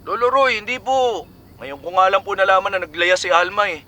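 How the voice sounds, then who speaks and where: A man's voice: high voice, lively intonation, a little fast, sharp tone. A man acting a wily young fisherman in a Filipino radio drama, coaxing and glib in colloquial speech. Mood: placating. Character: wily young fisherman who uses dynamite, slick and evasive.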